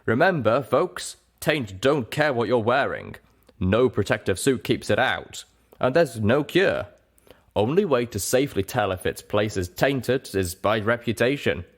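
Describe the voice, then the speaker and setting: A man's voice: medium pitch, expressive, measured pace. Guy doing a showy pony radio dj lady, folksy, theatrical wasteland broadcast for the kids and folks. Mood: folksy warning. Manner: theatrical, addressing listeners as children and folks. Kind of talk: radio survival-tip broadcast.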